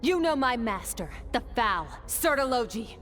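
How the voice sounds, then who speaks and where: A woman playing a cool, aloof young swordswoman in an anime game, speaking in a measured, detached and stern way. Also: a little high, sing-song, loud music behind the voice. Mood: blunt, probing.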